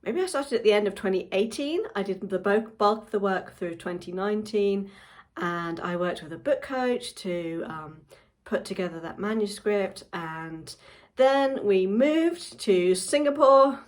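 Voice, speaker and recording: A woman's voice: medium pitch, expressive, measured pace. A woman in her forties, a book coach, chatting casually to camera at home with frequent ums. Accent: non-Japanese English accent. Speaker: book coach and channel host.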